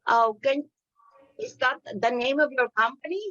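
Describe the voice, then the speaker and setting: A woman's voice: high-pitched, animated, moderate pace, bright, forceful tone. A woman hosting a TV interview, introducing guests in a chatty, hesitant way with many ums and restarts. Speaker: TV interview host. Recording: slightly dull, lo-fi sound.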